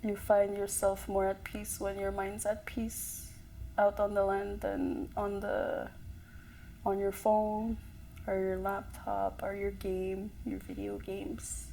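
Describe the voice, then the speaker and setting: A young woman from a Cree community speaks softly and thoughtfully, pausing between phrases. Her pitch stays flat and level, with little rise or fall. A faint hum of ambience sits behind her. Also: vocal fry, rough recording. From Cree community in northern Quebec. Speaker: community member sharing a story. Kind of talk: reflective personal testimony.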